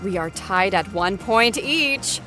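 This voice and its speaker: A woman's voice: mid-range voice, animated, slow pace, sharp tone, faint music behind. A woman hosting a playful trivia game show in a bubbly, upbeat manner. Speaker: show host.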